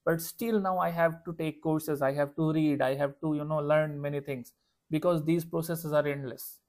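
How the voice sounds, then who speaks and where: A young man from Bangladesh with a Bangladeshi accent, a freelance ad marketer earnestly pitching his services to a client on a call. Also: steady tone, normal speed, darkish tone. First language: Bengali. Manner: earnest, reassuring, fillers of "you know". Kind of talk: sales call.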